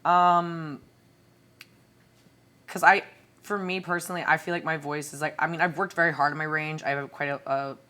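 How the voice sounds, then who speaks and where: Low-voiced young woman, chatty. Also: steady tone, moderate pace, long pauses, pushed and bright. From Canada. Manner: chatty, laughs often, swears, many fillers. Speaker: guest singer. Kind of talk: casual podcast chat.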